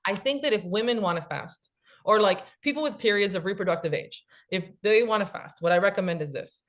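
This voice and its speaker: A woman in her late thirties, a cookbook author, talking casually and explaining things quickly with many fillers. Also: mid-range voice, fairly bright tone. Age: 30s. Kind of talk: casual podcast chat.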